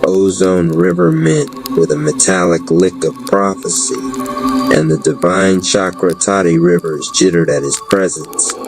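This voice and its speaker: A man narrating a scripted dark fantasy audio drama in a solemn, deliberate, florid storytelling manner, with a gravelly, creaky voice. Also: sits low. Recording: clearly noisy background.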